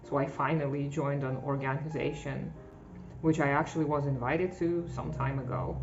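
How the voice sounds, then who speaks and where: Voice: medium pitch, vocal fry, darkish tone. Frank vlogger over faint music. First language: Russian. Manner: frank, self-deprecating, with short laughs. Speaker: channel host. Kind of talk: personal vlog monologue. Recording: dull lo-fi audio with faint music.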